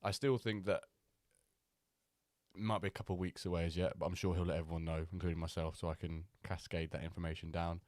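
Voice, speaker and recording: A man hosting a live stream, chatting loosely with many pauses and fillers, still a little nasal from a cold. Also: sits low, flat delivery, darkish tone, no echo. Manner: chatty, rambling, with many ums and restarts. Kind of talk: casual live-stream chat.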